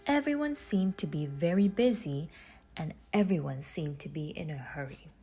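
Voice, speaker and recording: A woman reading a children's storybook aloud in measured phrases with deliberate pauses, her husky voice turning breathy as phrases end. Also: low voice, lively intonation, dark tone, slight background noise. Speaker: storybook reader. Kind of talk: children's read-aloud narration.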